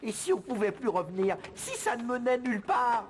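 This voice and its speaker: A woman's voice: a little high, very animated, fairly quick, slight background noise. A woman voicing a timid young boy sidekick in a French cartoon dub, fretful and stammering. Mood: anxious.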